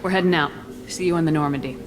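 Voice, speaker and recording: An actress playing a tough, self-assured military commander in a video game, with a firm, direct delivery. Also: female voice, low-pitched, very fast, natural voice, poor audio. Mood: businesslike. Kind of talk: video game character dialogue. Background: game soundtrack music.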